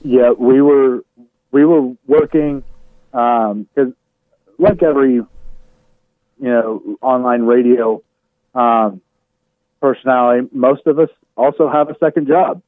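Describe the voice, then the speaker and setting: A man's voice: mid-range voice, takes it slowly, pauses often, no echo. A man who hosts online radio, chatting casually as an interview guest over a remote call. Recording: muffled narrow-band remote call sound.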